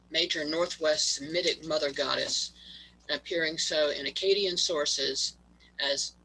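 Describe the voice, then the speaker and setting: A man giving a prepared talk over a video call, reading haltingly and stumbling on words, his voice worn from allergies. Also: fairly high pitch, pushed and bright, a little hiss or hum, low-quality recording. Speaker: guest presenter.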